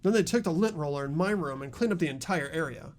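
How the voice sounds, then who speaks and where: A man voicing an earnest high school boy in a mystery game, explaining a case in a deliberate, dramatic way. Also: animated, natural voice. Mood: grave, determined. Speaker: amateur voice actor in a game playthrough.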